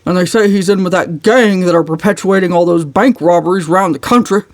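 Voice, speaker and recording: A woman's voice: fairly low pitch, a little fast, dry recording, lightly acted. A woman voicing a pompous, indignant older man in a storybook, with emphatic, scornful delivery. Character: blustering, indignant, pompous old uncle.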